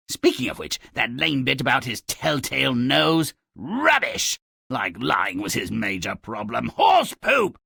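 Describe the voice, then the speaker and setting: Video game narrator role: malicious, sardonic gnome, raspy and forceful, slow relishing delivery of dark fairy tales, exaggerated and mocking. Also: male voice, medium pitch, no room sound, studio quality, full character voice. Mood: mocking scorn. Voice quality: raspy, gravelly.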